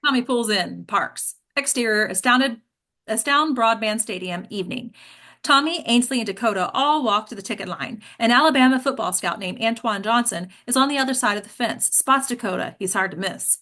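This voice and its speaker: A woman reads screenplay stage directions aloud as a narrator. Her voice is on the high side and strikingly bright and crisp-edged. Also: moderate pace. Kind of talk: scripted narration at a table read.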